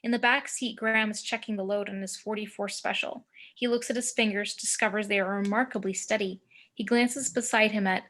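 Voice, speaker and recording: A woman's voice: mid-range voice, steady tone, a little fast, fairly bright tone. A woman reading screenplay action as narrator, with dramatic, clipped phrasing, recorded over a home video call.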